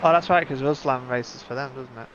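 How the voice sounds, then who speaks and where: A man's voice: medium pitch, fairly quick. A man hosting a live sim racing stream with casual, conversational commentary, thinking aloud as he goes. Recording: muffled lo-fi audio with background noise.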